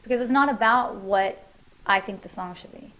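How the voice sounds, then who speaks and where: A woman's voice: medium pitch, normal speed, fairly bright tone, poor audio. A woman musician answering interview questions in her living room, thoughtful and loose, with many fillers and restarts.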